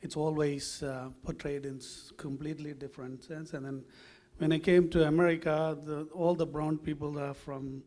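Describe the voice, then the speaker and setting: Sri guy on a panel, bit higher voice, stops and restarts a lot. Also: level tone, darkish tone. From Sri Lanka. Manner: halting, searching for words. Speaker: panelist, filmmaker. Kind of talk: panel discussion.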